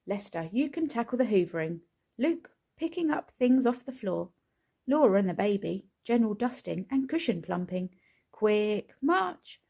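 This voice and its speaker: A woman's voice: mid-range voice, very animated, moderate pace, creaky, warm tone, husky, exaggerated voice. A woman voicing a jolly, bossy father who commands his children around the house. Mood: commanding. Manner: hearty, commanding.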